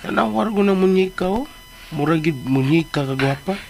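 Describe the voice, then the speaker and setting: Chatty comic male helper, higher-pitched. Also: expressive, moderate pace, gravelly, faint music behind. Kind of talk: radio drama acting.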